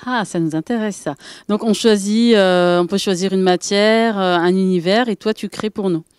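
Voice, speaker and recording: A woman's voice: medium pitch, moderate pace, a little mellow, clear, resonant tone, no room sound. A woman hosting a TV interview, upbeat and full of compliments. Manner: enthusiastic, complimentary. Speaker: TV show host.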